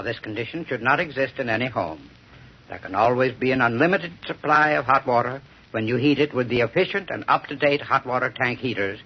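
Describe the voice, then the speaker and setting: An American man delivers a scripted sponsor message in vintage radio style. His voice is middling in pitch and somewhat raspy. The recording is dull and dated. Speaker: sponsor spokesman. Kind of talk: scripted 1930s radio advertising.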